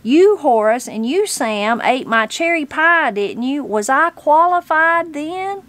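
A woman's voice: a little high, big pitch swings, measured pace, dry recording. A woman voicing a feisty old backwoods granny, blunt, folksy and indignant, as a storybook character. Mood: astonished, indignant.

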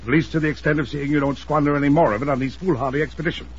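An actor playing a pompous, controlling older uncle in 1950s radio drama style, short-tempered and given to formal, blustering speech. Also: male voice, on the high side, fairly even intonation, rapid-fire, lightly acted. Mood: angry, blustering. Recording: muffled hissy old radio recording.

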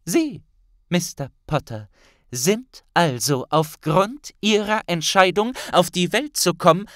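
A man's voice: high voice, lively intonation, slow, a bit bright, dry recording, clean studio sound, pitched above the performer's own voice, exaggerated voice. A man voicing a stern, accusing wizard grandmaster, pompous and declamatory, in a storybook reading.

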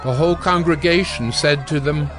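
A man narrating a dramatized audio Bible in a measured, solemn storytelling manner. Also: fairly high pitch, music in the background.

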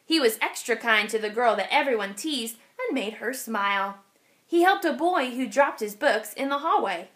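A woman teacher reading a children's storybook aloud, expressively and with clear phrasing for young listeners. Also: a little high, animated, moderate pace, a bit bright. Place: indoors, over an open picture book.

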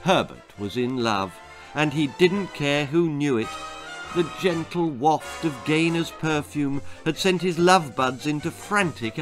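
A man in his sixties reading a children's story dramatically, with an expressive, measured, suspenseful delivery. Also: a little high. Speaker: author reading own story. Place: small book-lined room at home. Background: music.

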